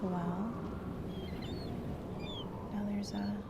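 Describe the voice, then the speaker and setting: A woman's voice: mid-range voice, flat delivery, very slow, creaky, heavy background noise. A woman giving casual gameplay commentary, murmuring as she thinks aloud. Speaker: video game player and host. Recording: loud game music behind voice. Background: game music and sounds.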